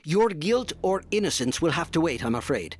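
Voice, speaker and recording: Man playing a stern, animated naval captain. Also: on the high side, normal speed, a little hiss or hum. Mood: resolute. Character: stern, dutiful male 1840s British naval captain. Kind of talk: fan audio drama acting.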